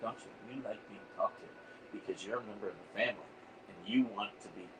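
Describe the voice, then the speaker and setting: A hoarse man hosting a casual livestream, rambling with lots of fillers and reading out the chat. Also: fairly low pitch, quick pace, a bit bright, a little hiss or hum, low-quality recording. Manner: rambling, reads chat aloud, frequent fillers. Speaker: host of a veterans livestream. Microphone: iPad.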